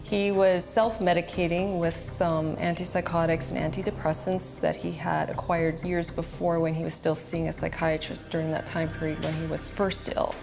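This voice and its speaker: Low, creaky-voiced woman sharing testimony. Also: little pitch movement, moderate pace, hardly any pauses. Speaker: interviewee giving personal testimony. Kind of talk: personal testimony, recounting events. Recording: music bed under the voice. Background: background music.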